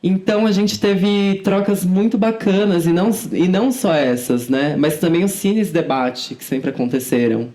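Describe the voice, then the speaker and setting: Young woman, somewhat low-pitched informal speaker. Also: moderate pace, hardly any pauses, dark tone. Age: 20s. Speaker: podcast guest, student activist. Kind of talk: conversational interview answers.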